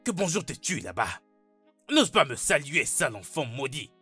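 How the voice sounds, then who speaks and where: A man's voice: medium pitch, very animated, normal speed, sharp tone, slightly rough, faint music behind, heavily acted. An actor playing a stern, menacing middle-aged father, domineering and confrontational, in a dubbed melodrama. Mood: furious, threatening.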